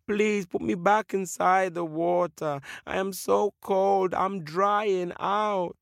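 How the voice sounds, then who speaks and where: A man voicing a big, freezing whale from a folktale, crying and pleading in drawn-out wails. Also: steady tone, gravelly, no echo, clean studio sound, heavily acted. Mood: miserable pleading. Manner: drawn-out pleading with high wailing moans.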